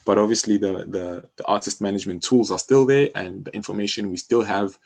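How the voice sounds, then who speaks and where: A man with a South African accent speaks in a low register. He explains things in a casual, conversational way, like a guest in an interview, often checking that the listener follows. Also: brisk, gravelly, a little mellow. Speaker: music publishing company co-founder, interview guest.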